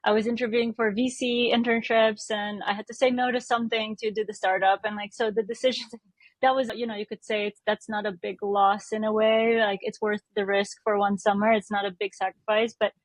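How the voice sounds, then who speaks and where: A woman's voice: medium pitch, flat delivery, fairly quick, no echo, low-quality recording. A woman in her thirties from Romania, a startup founder answering interview questions thoughtfully, often restarting her sentences. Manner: thoughtful, many restarts and self-corrections, light laughs.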